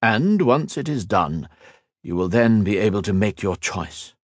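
A man voicing a cold, mocking villainous male sorcerer who taunts slowly and theatrically. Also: on the low side, very animated, no echo, clean studio sound. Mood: taunting.

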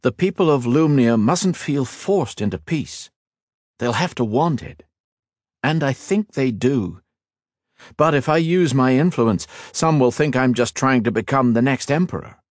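A man's voice: medium pitch, animated, a little slow, a little mellow, no room sound, studio quality, pitched above the performer's own voice, close to an everyday voice. A man voicing Ethan, an earnest, modest young male hero in a fantasy audiobook.

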